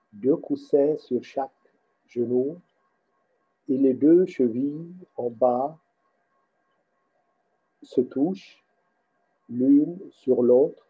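A man guiding a meditation as its teacher, speaking haltingly in short phrases with pauses between them. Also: medium pitch, slightly rough, narrow, tinny audio. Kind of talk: guided meditation instruction.

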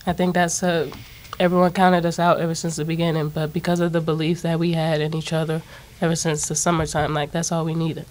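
Young woman, college athlete at a presser; casual, flat tone, table mic. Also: low voice, brisk, a little hiss or hum. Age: young adult. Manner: casual, plain-spoken with "like". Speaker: college basketball player. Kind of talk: postgame press conference answers. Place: press conference room, seated at table. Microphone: table mic on stand.

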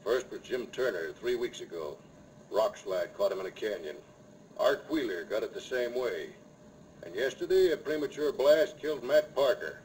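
An actor playing an obliging, officious frontier town mayor in an old radio western. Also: male voice, mid-range voice, lively intonation, normal speed, darkish tone, close to an everyday voice, rough recording. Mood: grave. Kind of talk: 1950s radio western acting. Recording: old radio recording, faint noise.

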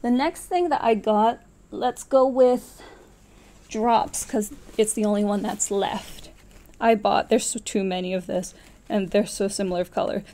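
Mellow-voiced young woman chatting to camera. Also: mid-range voice, a little slow. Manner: rambling, talks to herself and self-corrects. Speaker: knitting vlogger. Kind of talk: casual chatty yarn haul to camera. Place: small furnished room at home, indoors.

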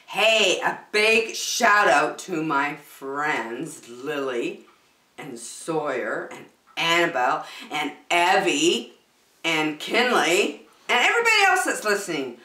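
An older woman hosting story time, reading a picture book aloud to young children with expressive, drawn-out emphasis. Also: low voice, far from the mic. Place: small decorated indoor room. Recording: roomy echo.

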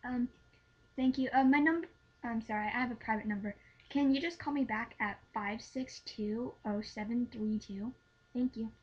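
A woman's voice: fairly high pitch, moderate pace, husky. A young teenage girl with a girlish voice chatting casually as a prank caller on muffled webcam audio. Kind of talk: casual phone prank chat. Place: small room at home. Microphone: webcam or laptop microphone.

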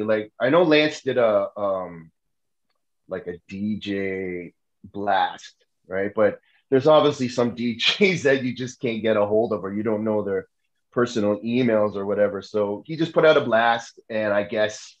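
A man's voice: on the low side, measured pace, highs cut off. A man who is a guest rapper, telling his story in laid-back, filler-heavy interview talk over a video call.

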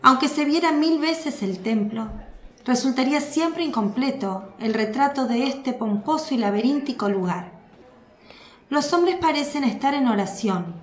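A woman narrating a radio drama in a steady, descriptive read. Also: high-pitched, measured pace, reverberant.

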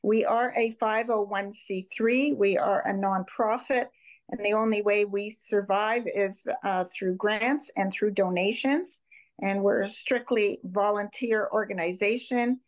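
A woman's voice: medium pitch, fairly even intonation, a little slow, rough recording. An older woman from Montreal speaking as a guest presenter and organization co-founder over a video call. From Montreal, Canada. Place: home room indoors.

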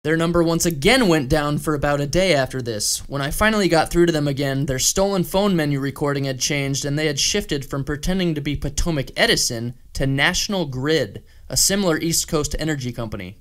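A man's voice: a little high, brisk, few pauses, a bit bright. A man hosting with scripted voice-over narration. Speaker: host narrator.

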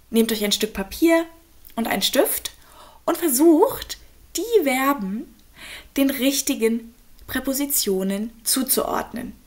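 A young woman, a native speaker and German teacher, calmly explaining grammar in an online lesson with worked examples. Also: fairly high pitch. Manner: slow, clear, patient explaining with examples.

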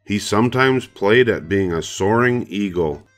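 A man's voice: lowish voice, expressive, unhurried, soft background music. An older man narrating a children's story from a script. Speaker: storybook reader.

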